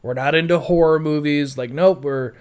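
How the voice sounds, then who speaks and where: A man with a smooth, clear voice pitched a little high records a personal audio blog. He talks casually and conversationally, looping back over his thoughts with plenty of "like" and "you know" as he goes. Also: moderate pace, darkish tone. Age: adult. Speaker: podcaster.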